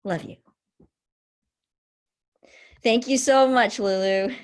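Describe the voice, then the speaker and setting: A woman's voice: fairly high pitch, slow. A woman hosting a student event on a video call, speaking casually and encouragingly with effusive, gushing praise. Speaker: host of a student symposium session.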